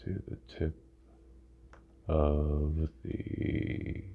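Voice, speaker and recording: A man leading a guided body scan meditation in an ASMR style, hushed, with drawn-out phrases and long pauses. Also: very deep voice, flat delivery, breathy edge. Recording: muffled phone-like sound with audible noise.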